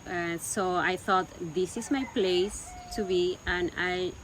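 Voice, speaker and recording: A woman's voice: fairly low pitch, fairly even intonation, slow, a bit bright, poor audio. Woman, casual home talk, faint noise behind her. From Peru. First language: Spanish. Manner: searching for words, repeating herself. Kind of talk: casual conversation.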